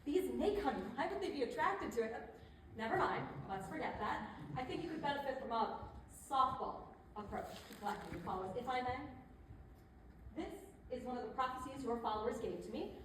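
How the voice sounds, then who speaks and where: A woman's voice: on the high side, expressive, a little fast, lightly acted. A woman playing a chatty, pushy but tactful image consultant in a stage comedy, conversational and persuasive with hesitant asides. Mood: tactful, musing. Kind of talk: theatrical stage comedy acting. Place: indoor theater stage. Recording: echoey theater, distant mic, faint noise.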